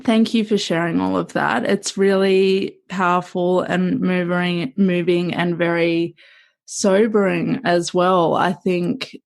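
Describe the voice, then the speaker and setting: A woman's voice: fairly low pitch, little pitch movement, slow pace, creaky. A woman from Australia hosting a podcast interview, speaking in a thoughtful, affirming way. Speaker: podcast host.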